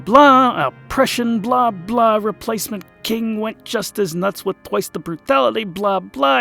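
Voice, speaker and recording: A man's voice: high voice, vocal fry, fairly bright tone. A man narrating a scripted comic fantasy tale in a theatrical, mock-epic manner with wry asides. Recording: voice over music. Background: music.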